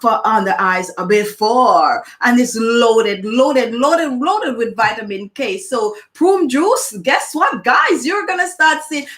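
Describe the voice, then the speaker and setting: A woman's voice: fairly high pitch, expressive, slow, hardly any pauses, a bit bright. A middle-aged woman from Jamaica with a strong Jamaican accent, hosting a beauty channel and chatting loosely with viewers about skincare tips. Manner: chatty, rambling, greets viewers by name. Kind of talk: casual live chat and beauty tips.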